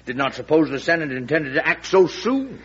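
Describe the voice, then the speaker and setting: Evasive American general, deferential and hesitant. Raspy, widely swinging delivery, vintage radio play. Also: male voice, fairly high pitch, big pitch swings, moderate pace, fairly bright tone, exaggerated voice. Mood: uneasy, defensive. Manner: hesitant, throat-clearing, deferential. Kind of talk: classic radio drama acting. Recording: muffled old radio recording.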